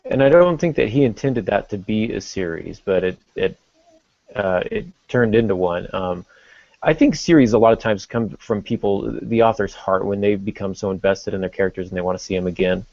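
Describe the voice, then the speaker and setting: Man, low creaky voice, explanatory podcast co-host, thinking aloud, muffled lo-fi sound. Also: normal speed, a little mellow, no room sound. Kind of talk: casual discussion of fiction writing. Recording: dull lo-fi podcast audio.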